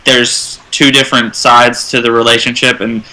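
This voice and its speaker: A man's voice: medium pitch, level tone, normal speed, pushed and bright, poor audio. A man chatting casually as a panelist on a fan radio show.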